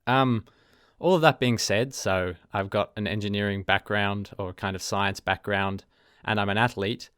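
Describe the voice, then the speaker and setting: Australian man, low, smooth podcast voice. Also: steady tone, normal speed, fairly bright tone, dry recording, studio quality. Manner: thoughtful, self-correcting, frequent ums. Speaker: podcast host. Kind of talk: casual solo podcast talk.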